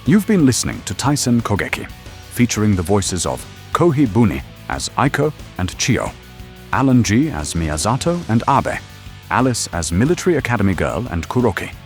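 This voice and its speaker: A man narrates an audio drama in a deep voice with a very animated melody. The delivery is scripted and dramatic, with faint music behind. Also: moderate pace.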